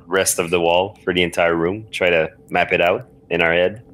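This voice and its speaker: A man's voice: low voice, fairly even intonation, normal speed, a bit bright. A man chatting casually as a game player, thinking aloud and tentatively suggesting his next moves. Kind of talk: casual chat, talking through game moves.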